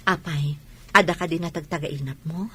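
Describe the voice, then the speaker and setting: A woman playing a gentle, comforting mother in a radio drama, speaking in a soothing, reassuring way. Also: low-pitched, normal speed, breathy edge, heavily acted. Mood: gentle, caring. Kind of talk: Ilocano radio drama acting.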